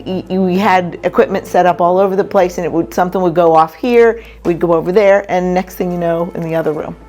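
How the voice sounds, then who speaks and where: A woman, a paranormal investigator, excitedly answering interview questions and repeating herself for emphasis. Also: lowish voice.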